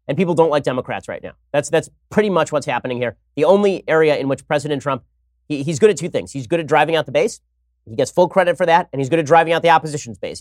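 A man hosting an opinionated political commentary show, talking in a wry, sarcastic way and moving briskly from segment to segment. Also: fairly high pitch, no room sound, clean studio sound. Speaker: host.

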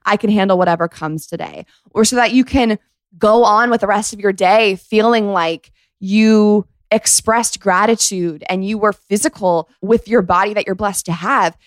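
A woman's voice: mid-range voice, no echo. A woman in her twenties hosting a podcast, giving casual advice in a chatty, emphatic way with slangy asides. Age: 20s. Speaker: podcast host. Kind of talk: casual advice talk to listeners.

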